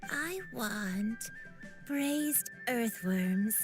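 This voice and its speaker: A woman playing a gentle, confused, absent-minded granny in a video game. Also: on the high side, animated, faint music behind. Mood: vague, confused. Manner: slow, wistful, absent-minded.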